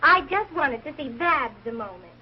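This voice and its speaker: Sitcom mean girl: high voice, sugary and scheming manner, muffled 1940s TV recording. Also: expressive, moderate pace. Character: catty, falsely sweet young female rival. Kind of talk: 1940s live TV sitcom acting. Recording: dull, noisy old TV soundtrack.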